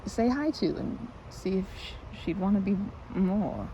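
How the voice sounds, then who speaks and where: A woman's voice: medium pitch, big pitch swings, measured pace, warm tone, muffled recording, a little hiss or hum. A woman playing a timid, socially anxious young woman in an ASMR roleplay, stammering and rambling nervously as she corrects herself. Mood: flustered, bashful. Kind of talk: ASMR roleplay, intimate one-sided romantic dialogue.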